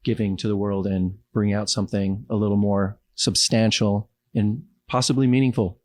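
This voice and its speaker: A man's voice: fairly low pitch, steady tone, moderate pace, a little mellow, no echo. A man from Los Angeles with an American accent, talking casually and reflectively as an interview guest. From Los Angeles, United States.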